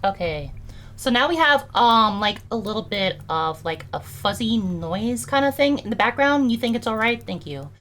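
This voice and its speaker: A young adult streamer with a high-toned voice, chatting casually and filling every pause with "like" and "um" while working through audio edits live. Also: expressive, fairly bright tone. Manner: chatty, heavy use of "like" and "um".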